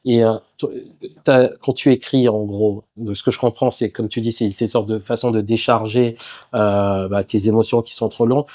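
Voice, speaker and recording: A man hosting a podcast interview, asking questions in a casual, relaxed way with many fillers. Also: fairly low pitch, moderate pace. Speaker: podcast host.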